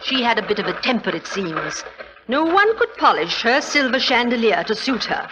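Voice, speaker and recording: An actress playing an outspoken aristocratic widow in a 1940s radio drama, wry and gossipy as a storyteller. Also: female voice, on the high side, sing-song, normal speed. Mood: relishing the tale. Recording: noisy, muffled 1940s radio recording.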